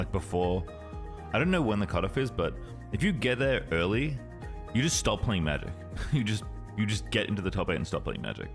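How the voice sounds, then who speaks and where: Low-voiced man casually commentating, animated intonation. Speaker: co-streamer. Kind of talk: casual commentary on a card game tournament.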